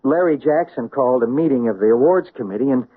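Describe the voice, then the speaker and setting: A jittery man who stumbles over his words, with a husky voice that swings up and down. It comes through thin and tinny, like an old radio broadcast. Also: medium pitch, lively intonation, fast talker. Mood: confessing. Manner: stammering, flustered. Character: nervous, guilty-feeling committee member. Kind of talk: 1970s radio drama acting.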